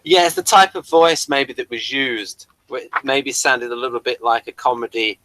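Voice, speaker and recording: A man's voice: medium pitch, normal speed, pushed and bright, no echo, rough recording. A man hosting a review show in casual, unscripted chat, rambling and stop-start as he thinks aloud.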